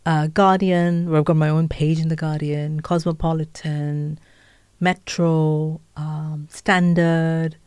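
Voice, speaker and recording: A woman's voice: low voice, flat delivery, slow pace, darkish tone, smooth, no room sound. A middle-aged woman with an Indian accent, a podcaster and author telling her story earnestly in an interview. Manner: earnest storytelling with listing and self-talk quotes. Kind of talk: candid interview conversation.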